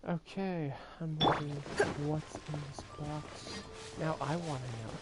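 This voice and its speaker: A woman's voice: sits low, steady tone, measured pace. A woman giving casual, laid-back gameplay commentary in muttered asides. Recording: loud game music behind.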